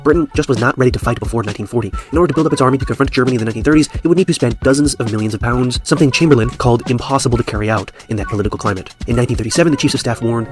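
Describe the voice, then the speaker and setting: Brief: male essayist narrator, very fast scripted read, faint music underneath. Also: mid-range voice. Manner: brisk, measured argumentative delivery. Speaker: video essayist.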